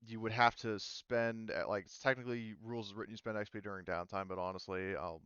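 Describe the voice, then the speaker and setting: A man running an online tabletop game in a fairly low, clear voice. He explains things informally and in a rambling way. The sound is close and dry, slightly dulled like voice chat. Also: fairly even intonation, fast, fairly bright tone, no room sound, lo-fi sound. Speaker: game master.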